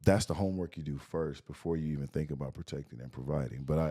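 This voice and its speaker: Very deep-voiced man, easygoing podcast co-host. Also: quick pace, breathy edge, dry recording, clean studio sound. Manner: relaxed, repeats phrases for emphasis. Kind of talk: casual podcast chat.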